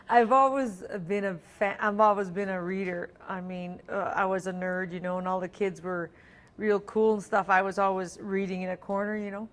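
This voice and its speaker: A woman's voice: medium pitch, steady tone, moderate pace. A middle-aged woman from Alberta whose first language is French, chatting as an interview guest with lots of ums and restarts. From Alberta, Canada. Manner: chatty, many ums and restarts, laughs easily. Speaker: singer-songwriter guest. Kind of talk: casual interview chat.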